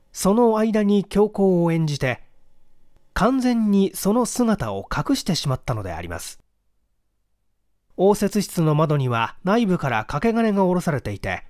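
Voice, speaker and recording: A man narrates an audiobook mystery in a slightly high voice. His intonation moves widely and expressively, while the delivery stays formal and measured. The recording is dry and close. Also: a little mellow, no echo. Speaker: narrator reading a classic mystery story aloud. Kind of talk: dramatic scripted audiobook narration in first person.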